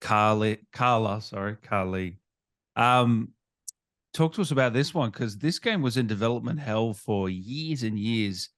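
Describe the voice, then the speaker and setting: Low-voiced man hosting a casual interview. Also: animated, measured pace, fairly bright tone. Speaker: interviewer, gaming channel host.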